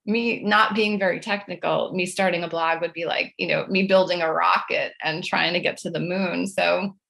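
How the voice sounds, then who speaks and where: A middle-aged woman with a slightly low voice talks as a guest author in an interview. She is chatty and anecdotal, with a self-deprecating way of telling her own stories. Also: fairly even intonation, moderate pace, creaky, fairly bright tone. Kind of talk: conversational interview storytelling.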